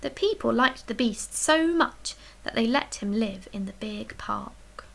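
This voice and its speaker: Slow, higher-voiced woman reading a bedtime story. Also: animated. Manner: slow, deliberate, dramatic emphasis with hushed, breathy dips. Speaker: storyteller reading a children's picture book. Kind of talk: expressive read-aloud bedtime story narration.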